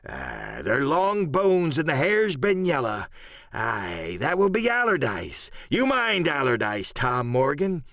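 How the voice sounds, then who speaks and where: A wily, commanding seafaring rogue, speaking in salty dialect. The voice swings dramatically from low growls to high exclamations, drawling out words in a close, dry sound. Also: male voice, wildly swooping pitch, slow pace, creaky, a bit bright, no room sound, full character voice. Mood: uneasy, grim. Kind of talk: audiobook character acting.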